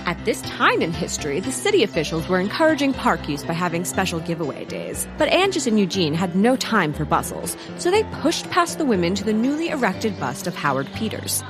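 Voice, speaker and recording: A woman's voice: mid-range voice, big pitch swings, brisk, hardly any pauses, over music, natural voice. A woman playing a wry storyteller, narrating a comedic historical mystery for audio drama. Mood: wry, brisk. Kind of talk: scripted narration.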